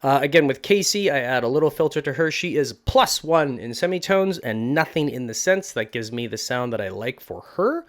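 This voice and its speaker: A man's voice: medium pitch, expressive, moderate pace, few pauses. Casual man giving an informal tutorial. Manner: informal, explanatory, step-by-step. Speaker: voice acting tutorial host.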